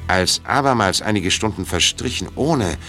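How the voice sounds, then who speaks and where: A man's voice: deep voice, lively intonation, normal speed, bright, forceful tone. A man reading scripted narration for an audio drama. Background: clear music.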